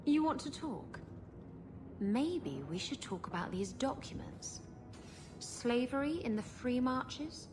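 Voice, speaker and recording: An actress playing a brash, roguish pirate swindler in a video game, sassy and cheeky in manner. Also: female voice, sits high, animated, slow pace, over music. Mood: confident bargaining.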